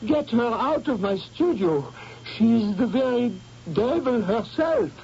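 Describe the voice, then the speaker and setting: A frantic, gravelly young painter. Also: male voice, very high voice, animated, measured pace, warm tone, full character voice. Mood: remorseful. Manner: frantic, pleading, breaking off mid-phrase. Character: desperate, volatile young male painter. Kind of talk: 1970s radio drama acting. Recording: dull old radio broadcast, faint hiss.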